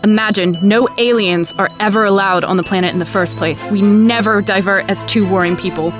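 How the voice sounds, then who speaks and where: A woman's voice: medium pitch, brisk, music in the background, natural voice. A woman playing a gloating tyrant president in an audio drama, grandiose and menacing, speaking as a cold megalomaniac villain. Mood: exultant, grandiose.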